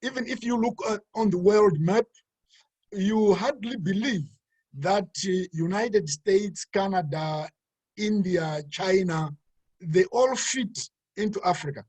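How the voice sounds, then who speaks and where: Zimbabwean pastor giving a webinar talk; husky tone, fairly high for a man, dry close sound. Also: darkish tone, no echo. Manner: halting, many fillers and restarts.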